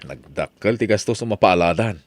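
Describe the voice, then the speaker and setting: An actor playing an exasperated, grumbling farmer in a comic radio drama, speaking with an Ilocano accent. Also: male voice, on the low side, animated, brisk, dry recording, lightly acted. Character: exasperated male farmer pleading with stubborn neighbours.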